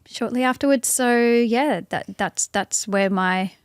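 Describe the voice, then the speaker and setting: An Australian woman, an interview guest, speaking thoughtfully in a casual conversation with many pauses and restarts. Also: on the high side, smooth, dry recording.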